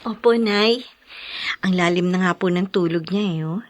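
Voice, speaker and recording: A woman's voice: lowish voice, moderate pace, no room sound, lightly acted. An actress with a Filipino accent, playing a spirited young single woman in a radio drama. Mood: soft. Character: young single woman, feisty but shy. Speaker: daughter.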